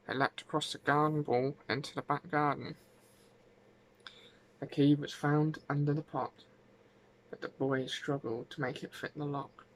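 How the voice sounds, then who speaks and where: A man's voice: medium pitch, fairly bright tone, slight background noise, low-quality recording. A man narrating a folk tale as an ASMR storyteller, with dramatic, suspenseful delivery.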